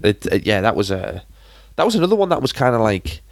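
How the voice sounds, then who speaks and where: A man's voice: lowish voice, big pitch swings, quick pace, gravelly, no echo, studio quality. A British man co-hosting a podcast, chatting casually about films in an informal, rambling and blunt way. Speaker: podcast co-host and film reviewer. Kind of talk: casual film chat.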